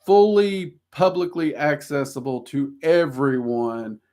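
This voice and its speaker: A man's voice: a little high, slow pace, dark tone. A man with a Southern US accent, hosting a casual livestream and answering viewer questions in a rambling, self-deprecating way with lots of ums. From Southern United States.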